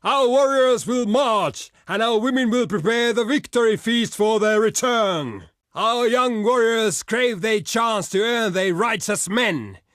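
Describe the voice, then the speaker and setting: An actor voicing a proud, gruff barbarian warrior envoy in a video game, blunt and boastful, declaiming in archaic, formal phrases. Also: male voice, high-pitched, measured pace, few pauses, a bit bright, no room sound, studio quality. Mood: fierce, war-hungry. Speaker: diplomat character in a strategy game. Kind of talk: theatrical video game voice lines.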